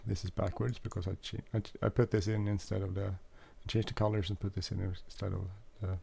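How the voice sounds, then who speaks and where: A man's voice: lowish voice, flat delivery, a little fast, creaky, mellow, breathy edge. A man who is not a native English speaker, casually walking through a flight simulator, hesitant with many fillers and self-corrections. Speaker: flight sim video host. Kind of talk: casual gameplay walkthrough.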